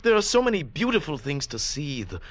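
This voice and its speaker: An actor in old-time radio drama playing an earnest, impassioned outsider in love, scorned as a village idiot. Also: male voice, a little high, big pitch swings, fairly quick, no room sound. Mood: pleading. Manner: earnest, impassioned, hesitant in dialogue. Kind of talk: 1940s-50s radio drama acting and narration.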